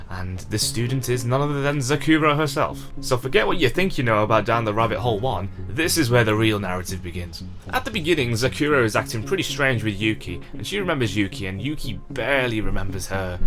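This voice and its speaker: Lively man reviewing over clear music. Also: medium pitch. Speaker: visual novel reviewer. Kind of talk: informal review commentary.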